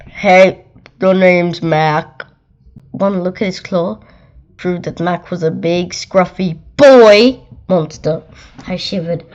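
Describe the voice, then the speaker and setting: Slow-paced woman reading a storybook. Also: fairly low pitch, muffled recording. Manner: expressive, dramatic. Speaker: read-aloud storyteller.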